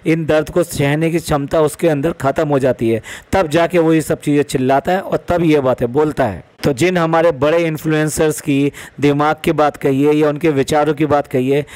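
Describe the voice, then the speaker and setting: A man's voice: on the high side, steady tone, normal speed, hardly any pauses, a little mellow. A man giving opinionated reaction commentary in an emphatic way, repeating phrases and posing rhetorical questions. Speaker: commentary vlogger.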